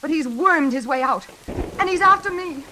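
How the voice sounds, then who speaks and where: An actress in a 1940s radio suspense drama playing an anxious, frightened woman in her thirties, speaking haltingly and dramatically. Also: high voice, expressive, pushed and bright, slight background noise, low-quality recording. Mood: frantic. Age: 30s. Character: frightened single woman haunted by her mother's killer. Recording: old radio recording, faint music behind.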